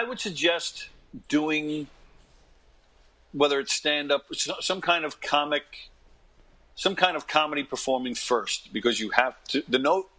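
Bright-voiced New man of fifty. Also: fairly high pitch, rough recording. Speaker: interview guest, actor and comedian. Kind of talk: casual interview anecdotes and advice.

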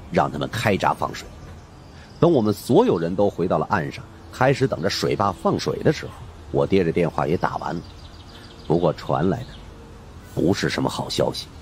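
Deep-voiced animated male storyteller. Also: slight background noise. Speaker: audiobook narrator. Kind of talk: scripted narration of a supernatural mystery novel.

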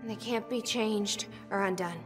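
An actress playing a grave, determined woman who believes in fate, speaking earnestly and deliberately in a cinematic video game. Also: mid-range voice, over music, lightly acted. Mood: solemn, resolute.

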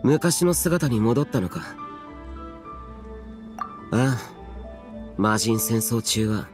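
Aloof ancient warrior spirit in anime game, solemn archaic talk, mid pitch, music behind. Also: male voice, lively intonation, extremely slow. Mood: reserved, grave. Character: ancient youthful-looking guardian spirit warrior, stern and aloof.

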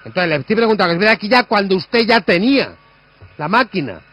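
A man's voice: high-pitched, expressive, fairly quick, pushed and bright. A man with an accent from Spain making a prank phone call, pressing on with muddled, insistent questions. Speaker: prank caller.

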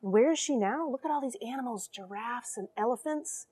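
A woman in her forties reading and explaining a story to children in an animated, questioning way from a small airlock. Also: a little high, fairly quick, husky. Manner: animated, expressive, addresses young listeners with questions. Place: small cramped metal space station airlock.

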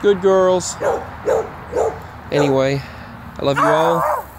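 A man giving a casual, rambling update while walking outdoors, halting and often repeating himself. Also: fairly high pitch, wildly swooping pitch. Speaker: vlogger. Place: outdoors, walking in a grassy yard near roads. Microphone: earbuds microphone with a phone. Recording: clear outdoor traffic noise. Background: passing traffic.

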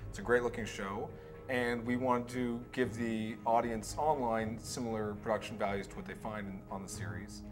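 A man in his thirties explains production matters as a producer in an interview. His voice is fairly low, with clear music behind it. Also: fairly even intonation, moderate pace. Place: indoor office with artwork on walls.

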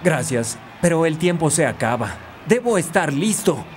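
A man's voice: on the high side, sing-song, moderate pace, slight background noise. An actor playing an earnest, anxious young male soccer player in a cartoon dub. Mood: worried.

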